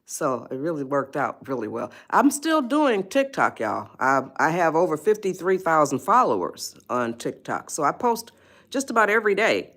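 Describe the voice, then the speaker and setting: A woman's voice: deep voice, very animated, moderate pace, a bit bright. A woman of eighty-one, hosting a casual live stream, chatty and rambling, often laughing and greeting viewers. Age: 80s. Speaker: livestream host.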